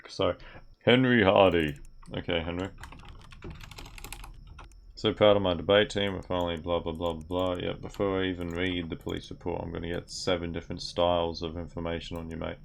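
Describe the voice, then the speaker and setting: A young man with a gravelly voice, commenting on a game as he plays and mutters through on-screen text. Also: deep voice, little pitch movement, a little fast, slight background noise. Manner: mutters aloud while reading on-screen text. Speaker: gaming video creator. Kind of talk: game commentary while playing. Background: keyboard typing and clicks.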